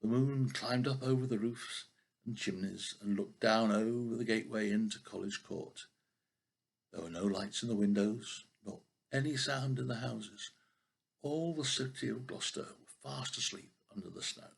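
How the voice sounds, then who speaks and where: Gravelly older man reading a children's story. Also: fairly low pitch, moderate pace. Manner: expressive with occasional stumbles over words. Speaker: grandfather reading a storybook aloud.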